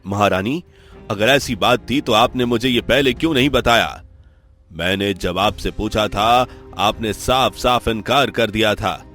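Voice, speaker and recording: A low-pitched, commanding king acted by a man in a cartoon fable. He talks as a concerned husband, formal and earnest, with dramatic delivery over soft music. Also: unhurried. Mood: reproachful. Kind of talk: Hindi cartoon story dialogue.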